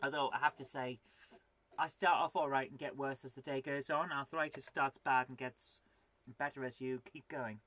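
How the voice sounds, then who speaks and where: A woman's voice: a bass voice, steady tone, normal speed, a bit bright. A woman of forty with a cold, hosting a live retro gaming stream, chatting to viewers and muttering to herself while she plays in a chatty, self-deprecating way. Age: 40s. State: has a cold. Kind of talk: live gameplay stream chat.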